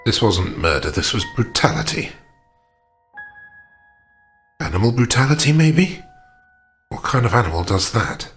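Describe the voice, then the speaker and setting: A man taking part in a tabletop roleplaying game as a player, asking and describing things in a deliberate, methodical way. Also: fairly low pitch, very animated, fairly bright tone, faint music behind.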